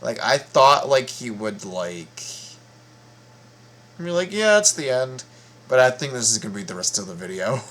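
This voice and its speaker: A man's voice: mid-range voice, sing-song, fairly bright tone. A man hosting a casual reaction video, commenting off the cuff and often repeating his words. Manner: halting, repeats words and phrases.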